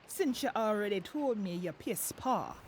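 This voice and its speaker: An actress playing a brash, foul-mouthed, commanding pirate leader in an audio drama. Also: female voice, medium pitch, animated, measured pace, natural voice. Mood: taunting. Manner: taunting, commanding. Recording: clear background noise, clicks.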